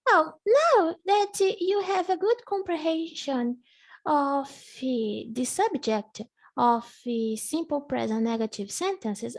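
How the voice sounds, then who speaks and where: Brief: English teacher, Portuguese native, measured, patient repetition, unhurried delivery. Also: female voice, sits high. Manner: patient, slow drilling, repeats words for the student. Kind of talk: live online English lesson.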